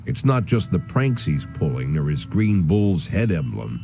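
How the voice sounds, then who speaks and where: An actor playing a weary, cynical, aging American police chief gone corrupt, narrating a noir video game in a dry, sardonic manner. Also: male voice, sits low, very animated, unhurried, vocal fry, mellow, soft background music, heavily acted. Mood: wry, musing. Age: older.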